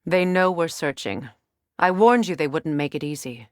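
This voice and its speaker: A dry, intimate recording carries a proud Norse goddess for a video game. Her voice sits fairly low for a woman. She speaks formally and with measured composure, as a determined queen of warriors. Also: animated, no room sound. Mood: measured, wry. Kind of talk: scripted video game dialogue.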